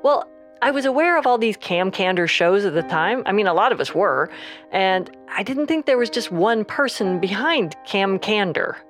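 An actress playing a friendly, candid podcast creator in a casual, slightly hesitant phone chat. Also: female voice, mid-range voice, animated, moderate pace, faint music behind, lightly acted.

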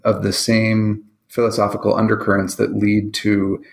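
A man with a lowish voice muses aloud while hosting a podcast. His phrasing is reflective and a little hesitant. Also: completely flat delivery, moderate pace, gravelly. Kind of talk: podcast interview.

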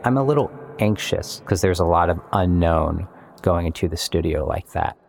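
A man, a musician and composer, talking reflectively and in measured phrases about his work. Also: sits low, expressive, vocal fry, a little mellow. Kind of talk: reflective interview monologue about making music. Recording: music playing behind the voice. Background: guitar-led instrumental music.

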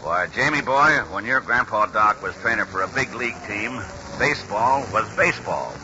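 A man playing a folksy American grandfather and former baseball trainer, animated and ungrammatical, in a 1940s radio drama. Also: lowish voice, moderate pace, lightly acted. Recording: muffled, hissy 1940s radio recording.

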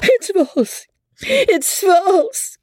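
A woman voicing a gentle, timid young widow who speaks in trembling, halting words. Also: extremely high pitch, very animated, no room sound, clean studio sound, pitched above the performer's own voice, full character voice. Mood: protesting.

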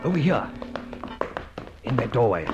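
An actor playing a suave, cheeky gentleman adventurer in an old radio drama, well-spoken and jaunty with theatrical exclamations. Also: medium pitch, big pitch swings, unhurried, music in the background. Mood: conspiratorial glee. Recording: muffled, hissy old radio recording.

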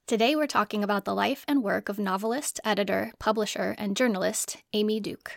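A woman's voice: mid-range voice, no echo, studio quality. A woman co-hosting an academic podcast, giving scripted introductions.

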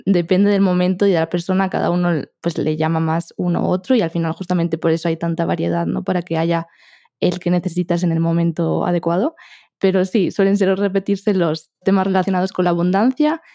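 A young woman from Spain with a Spanish accent, a meditation podcaster chatting casually as a guest, soft-spoken and laughing often. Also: lowish voice, fairly even intonation, a little fast, creaky, dry recording, clean studio sound. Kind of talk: casual interview chat.

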